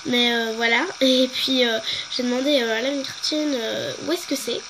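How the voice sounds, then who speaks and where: A woman's voice: a little high, fairly even intonation, moderate pace, noisy background. A young woman with a head cold, chatting hesitantly with lots of fillers while showing off her game. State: congested with a cold. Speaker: gaming video host. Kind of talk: casual game walkthrough.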